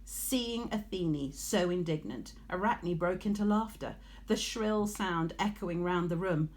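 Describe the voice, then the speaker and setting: Slow, lowish-voiced woman storyteller. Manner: measured, expressive storytelling. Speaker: teacher reading a story aloud. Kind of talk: scripted storybook narration.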